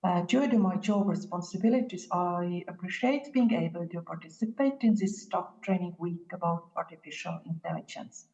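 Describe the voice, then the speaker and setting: Woman giving a measured statement. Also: on the low side, darkish tone. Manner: measured, with frequent "uh" fillers. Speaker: university staff member. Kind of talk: read statement. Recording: dull, reverberant recording.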